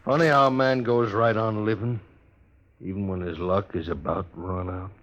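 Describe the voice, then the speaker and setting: An American actor playing a lonely, resigned stage station keeper of few words in a 1950s Western radio drama. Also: male voice, lowish voice, steady tone, moderate pace, fairly bright tone, lo-fi sound, lightly acted. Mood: wistful, resigned. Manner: laconic, plainspoken. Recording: faintly noisy old radio recording.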